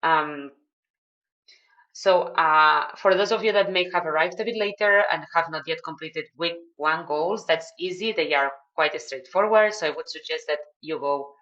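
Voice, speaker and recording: A woman with a Spanish accent explains tasks to newcomers on a video call, her voice sitting low. She talks in an explanatory way, pausing on fillers and restarting phrases. The audio is a touch dull. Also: fairly bright tone. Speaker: project mentor. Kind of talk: online video call briefing.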